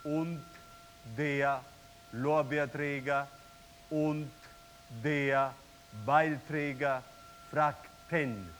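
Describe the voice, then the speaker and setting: Very slow, echoing announcer. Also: male voice, a little high, flat delivery, fairly bright tone. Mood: detached. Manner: halting, word by word. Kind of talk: slow announcement of numbers and text. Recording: hissy, long hall reverb, distant.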